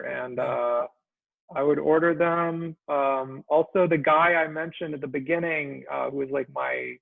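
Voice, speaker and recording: Gravelly, halting man on a tinny call. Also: a little high, no echo. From Israel. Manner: halting and soft-spoken, full of ums and trailing phrases. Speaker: guest cartoonist. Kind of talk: casual chat. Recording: tinny dry call audio.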